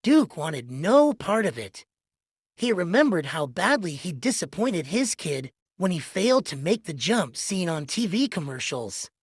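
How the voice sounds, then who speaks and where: A woman reads a children's story as narrator. Her voice is a little low and swings widely in pitch, at a slow, measured pace. Music plays clearly underneath. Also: big pitch swings, no room sound, studio quality. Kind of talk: scripted children's read-along narration. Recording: music behind the voice.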